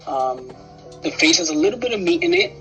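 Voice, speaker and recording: A man's voice: fairly high pitch, wildly swooping pitch, a little fast, vocal fry, fairly bright tone, soft background music, rough recording. A young man with a Dominican New York accent, a guest in a casual interview, playful and upbeat. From New York, Upper Manhattan. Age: young adult.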